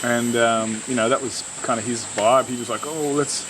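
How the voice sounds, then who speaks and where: A man's voice: mid-range voice, fairly even intonation, normal speed, noisy background. A man from Australia, a musician talking about a collaboration in a laid-back, slangy way. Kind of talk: casual interview answer.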